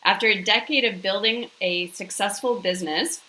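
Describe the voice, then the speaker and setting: Bright, enthusiastic woman host. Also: mid-range voice, normal speed, a little hiss or hum, poor audio. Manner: enthusiastic and affirming. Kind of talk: interview hosting.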